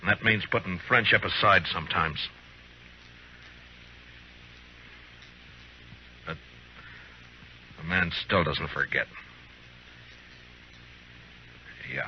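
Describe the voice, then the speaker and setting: An actor playing a stern, dutiful frontier marshal in an old radio drama, terse and grave, on a muffled old recording. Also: male voice, deep voice, lively intonation, normal speed, pauses often, a little hiss or hum. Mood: grave, loyal. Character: stern, dutiful frontier marshal, grown man, troubled but firm. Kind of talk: old-time radio acting.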